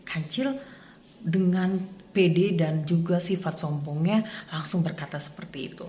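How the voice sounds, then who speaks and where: A woman's voice: on the low side, steady tone, a little slow, dark tone, a little hiss or hum. A young woman, a student storyteller, narrating expressively for young children.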